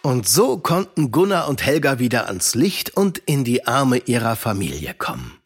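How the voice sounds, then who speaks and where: A man playing a calm, wise storyteller with a husky voice in a children's audio drama. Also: mid-range voice. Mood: calm, advising. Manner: measured, advising.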